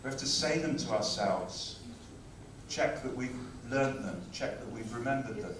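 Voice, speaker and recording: A man giving a conference talk on language teaching, speaking in a lively, conversational way. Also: medium pitch, normal speed, noisy background. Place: conference hall. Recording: very echoey hall, far from mic.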